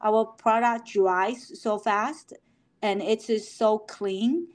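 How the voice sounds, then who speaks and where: A middle-aged woman from Taiwan, answering interview questions as a company founder, halting with many fillers and restarts. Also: medium pitch, steady tone.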